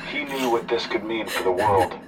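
A man playing a calm, calculating antagonist in an audio drama, speaking in a measured, smug, self-righteous way. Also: lowish voice, background noise, lightly acted. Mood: regretful, insistent. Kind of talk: audio fiction drama acting.

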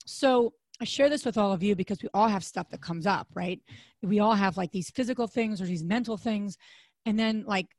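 A woman in her thirties co-hosting a podcast, with a deep, raspy, hoarse voice, chatting casually and rambling with fillers and restarts. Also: normal speed, a little mellow, no room sound. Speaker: podcast co-host.

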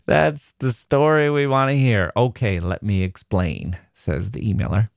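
A man's voice: fairly low pitch, a little slow, vocal fry, no echo. Chuckling male podcast host, dry close mic. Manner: warm, chuckling, self-deprecating. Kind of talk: casual podcast talk and reading a listener email.

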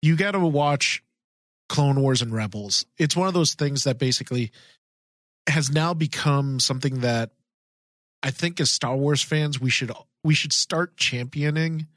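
A man with a medium-pitched voice chats as a podcast co-host. He sounds earnest and agreeable, in a dry recording with very little room sound. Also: unhurried, fairly bright tone, dry recording. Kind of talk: casual fan podcast chat.

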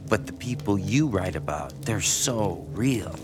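A man's voice: on the low side, animated, a little slow. An actor playing a lonely, timid small-town man with a hesitant, earnest manner in a radio horror drama. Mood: hesitant, awed.

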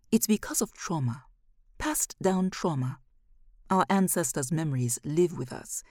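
A woman's voice: sits low, mellow, no room sound, clean studio sound. A woman voicing a grave, fervent tribeswoman in an audiobook, speaking with solemn, persuasive emphasis. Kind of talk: audiobook character dialogue.

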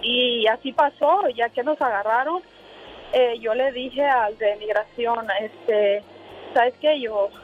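A woman's voice: a little high, slow pace. A woman caller telling a personal story hesitantly, full of fillers and quoted dialogue, over a phone call from a car. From Honduras. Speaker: radio call-in listener. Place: in a car while driving. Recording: tinny noisy phone call.

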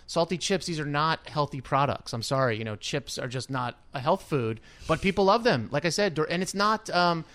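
A man's voice: a little high, expressive, moderate pace, few pauses, fairly bright tone. A man working as a consumer reporter, giving informal, emphatic business analysis in conversation.

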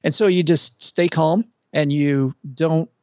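A middle-aged man co-hosting a podcast, chatting casually and at length, with a relaxed, talkative manner and the odd pause. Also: on the high side, dry recording. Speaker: podcast co-host. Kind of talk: casual conversational chat. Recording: dull, lo-fi dry sound.

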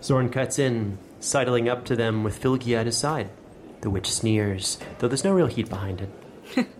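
A man's voice: mid-range voice, a little slow, slight background noise. A man acting as game master and host, moving between scripted story narration and casual banter.

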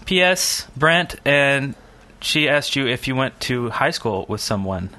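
A man's voice: mid-range voice, very animated, a little slow, bright, forceful tone. A man co-hosting a podcast, chatting in an informal, rambling and joking way. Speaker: podcast co-host. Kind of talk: casual group podcast chat.

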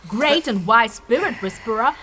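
Fiery young woman, high voice, animated, scolding, sarcastic, fantasy audio drama. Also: moderate pace, a little hiss or hum. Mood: angry, sarcastic.

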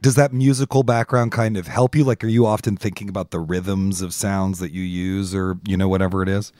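A man's voice: low-pitched, steady tone, a little fast, a little mellow, no echo. A man hosting a conversational podcast, talking informally and thinking aloud in asides. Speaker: podcast host and interviewer.

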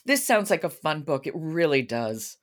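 Deep-voiced woman hosting a podcast. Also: big pitch swings, moderate pace. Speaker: podcast host. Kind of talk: conversational book-show hosting.

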